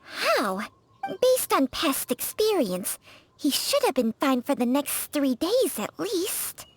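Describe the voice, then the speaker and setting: A woman playing a gentle, earnest and reassuring character in a video game, speaking simply. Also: high voice, big pitch swings, slow, slight background noise, full character voice. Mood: concerned.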